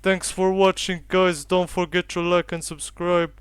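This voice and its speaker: A young man giving a calm, matter-of-fact step-by-step tutorial voiceover. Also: high voice, clear, resonant tone, no room sound. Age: young adult. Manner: flat, unhurried, matter-of-fact instructions. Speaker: tutorial youtuber.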